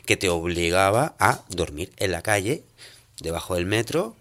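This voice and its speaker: A man, a guest on a talk radio show, explaining things in an animated way and acting out other people's reactions. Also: low-pitched, moderate pace. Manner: animated storyteller, acts out quoted reactions. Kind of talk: casual radio discussion.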